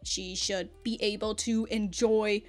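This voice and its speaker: A woman giving a casual, animated episode review as a reaction host, with slangy, sweary talk. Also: medium pitch, unhurried, soft background music.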